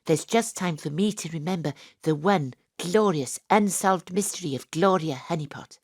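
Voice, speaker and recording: An actress voices a daydreaming woman detective narrating her own action scene in a live audio drama. Her voice sits low and she hams up the heroics with a wink at the audience. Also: normal speed, husky, no echo, clean studio sound. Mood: dramatic. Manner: melodramatic, self-mocking first-person narration. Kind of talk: live radio drama acting. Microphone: home studio mic.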